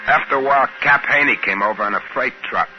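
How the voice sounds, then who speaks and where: A man's voice: medium pitch, fast, a little hiss or hum, lightly acted. An American actor playing a folksy, easygoing male space trader in a 1950s radio drama. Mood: folksy, musing. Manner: folksy, colloquial. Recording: dull band-limited 1950s radio recording, music behind.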